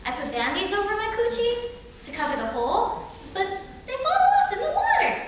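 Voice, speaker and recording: An actress playing a woman recalling her troubled girlhood in a dramatic, halting stage monologue, with childlike fright, recorded in an echoey hall. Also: very high voice, wildly swooping pitch, muffled recording, full character voice. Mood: anxious, childlike. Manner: dramatic, halting, vividly acted delivery. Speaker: stage performer. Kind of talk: theatrical monologue. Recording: echoey hall from far off, faint noise.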